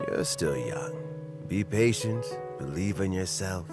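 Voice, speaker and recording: A man voicing a patient, kindly elderly mentor in a video game, speaking in an unhurried, philosophical, avuncular way. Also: sits low, expressive, heavily acted. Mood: calm, wise. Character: patient, kindly elderly male mentor who loves fishing. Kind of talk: scripted game voice acting. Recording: music clearly behind.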